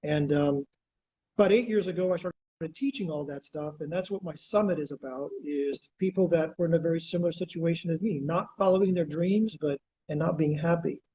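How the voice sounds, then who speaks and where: A man's voice: a little high, a little fast, dark tone, muffled recording, a little hiss or hum. A man in his sixties, born in Greece, answering as an interview guest and explaining ideas step by step in an earnest, lecturing way.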